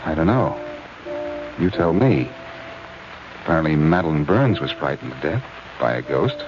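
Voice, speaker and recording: An actor playing a cool-headed, wry detective in a 1940s radio mystery. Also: male voice, extremely low pitch, animated, normal speed. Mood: grave, wondering. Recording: muffled old radio recording, music behind.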